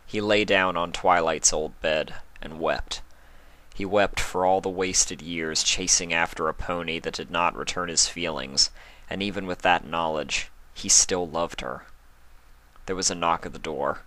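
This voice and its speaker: A man narrates a written story in a deep voice. He reads it as scripted prose, and the sound is dry with very little room in it. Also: steady tone, a little slow, sharp tone, no room sound, rough recording.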